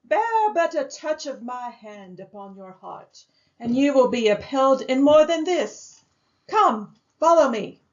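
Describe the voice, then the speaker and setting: A woman playing a solemn, commanding, probing spirit in a radio drama, speaking with deliberate gravity. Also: high voice, big pitch swings, muffled recording, full character voice. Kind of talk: family radio drama acting.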